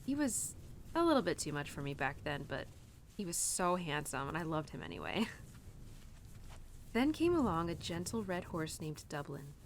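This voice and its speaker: A woman's voice: sits low, very animated, measured pace, slight background noise, heavily acted. A young woman playing an earnest, rambling cowgirl who confides in her horse in an intimate roleplay audio, hesitant and giggly, with stammers and restarts. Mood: nostalgic, amused.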